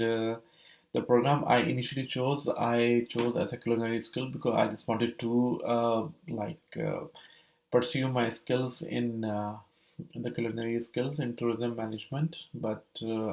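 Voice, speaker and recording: Gravelly-voiced man rambling with fillers. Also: mid-range voice, a little mellow. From Saudi Arabia. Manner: rambling, many fillers and restarts. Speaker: vlogger sharing his study permit experience. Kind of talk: casual explanatory vlog.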